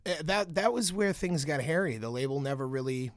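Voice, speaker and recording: A man with a raspy voice, a guest on a podcast, telling stories in an animated, casual way and laughing often. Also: on the high side, fairly quick, dry recording. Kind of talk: casual interview storytelling.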